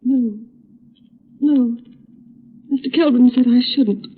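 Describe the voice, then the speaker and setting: An actress playing a trusting, distressed young daughter, plaintive and emotional, in an old radio drama style. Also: female voice, fairly high pitch, slow pace, husky. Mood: sorrowful. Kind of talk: 1930s-40s radio acting. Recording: muffled, narrow-band old radio recording.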